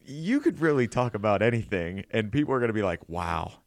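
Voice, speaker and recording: A man's voice: on the low side, sing-song, fairly quick, dry recording, studio quality. An American man hosting a podcast, asking questions in a joking, teasing way. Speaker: podcast host. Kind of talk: casual podcast conversation.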